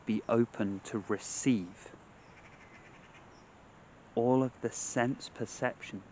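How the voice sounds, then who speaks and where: Man, guided meditation, very slow pace, lowish voice, long pauses, outdoor nature noise behind. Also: fairly even intonation, a little mellow. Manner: measured, short phrases with long pauses. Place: outdoors among trees.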